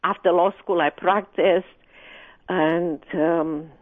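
A woman's voice: on the low side, fairly even intonation, slow pace. Hesitant woman on a phone line. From Romania. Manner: hesitant, many ums and uhs. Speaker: guest author. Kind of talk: telling her life story in an interview.

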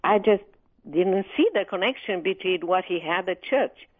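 A woman's voice: lowish voice, expressive, moderate pace. A woman from Romania, a guest author telling her life story in an interview, speaking hesitantly with many ums. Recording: tinny phone line.

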